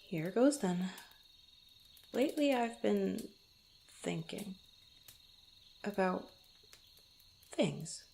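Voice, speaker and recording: Casting: actress as young female rogue adventurer, unhurried, slightly creaky voice, teasing yet easily flustered, intimate fantasy audio roleplay. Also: medium pitch, lively intonation, mellow, slight background noise, exaggerated voice. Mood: hesitant confiding. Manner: hesitant, stammering, playful banter. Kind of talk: intimate ASMR roleplay, one-sided conversation.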